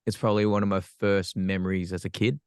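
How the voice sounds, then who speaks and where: A man's voice: low voice, flat delivery, quick pace, smooth, no echo, clean studio sound. An Australian man, a podcast guest, telling his life story frankly with self-deprecating humor. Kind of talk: candid personal storytelling.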